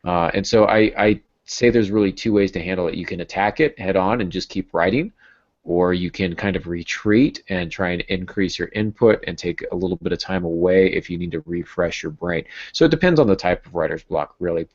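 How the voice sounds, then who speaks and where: A man in his thirties talks casually as a podcast host. His voice sits very low and his manner is dryly self-deprecating. The sound is slightly dull, as on a video call. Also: fairly quick. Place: small home room with bookshelves. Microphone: earbud headset on a video call.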